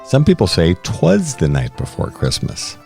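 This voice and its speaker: Low-voiced man reading like a storyteller. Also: huge pitch swings, measured pace, vocal fry, darkish tone, faint music behind. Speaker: podcast co-host. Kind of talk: podcast introduction and storytelling poem reading.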